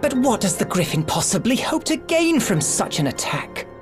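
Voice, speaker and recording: An animated young adventurer voiced by a woman argues in formal, pointed phrases. She is frank and skeptical in a fantasy game, with soft music behind. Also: medium pitch, moderate pace, lightly acted.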